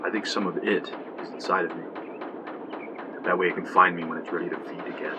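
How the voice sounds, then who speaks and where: Guy playing doomed brooding young man, deep voice, audio drama narration, noisy ambience. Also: steady tone, a little fast, dull audio, lightly acted. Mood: bleak, ominous. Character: haunted, fatalistic young man confessing what happened. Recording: clear ambient noise behind.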